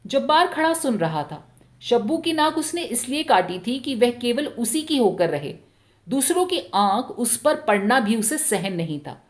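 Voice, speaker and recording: A woman's voice: fairly high pitch, sing-song, a little slow. A woman narrating a short story expressively from a script, as a storyteller.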